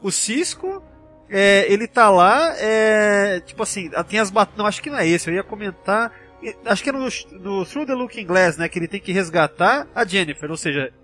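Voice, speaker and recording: High-pitched, lively Brazilian man. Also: moderate pace, soft background music. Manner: slangy, jokey, filler-heavy. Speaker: podcast host. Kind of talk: casual podcast chat.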